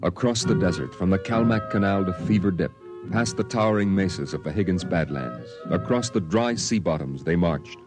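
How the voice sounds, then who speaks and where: A man narrating in a measured, somber way as the grown boy recalls the story, in 1950s radio drama style, from an old broadcast with music beneath. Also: low-pitched, natural voice.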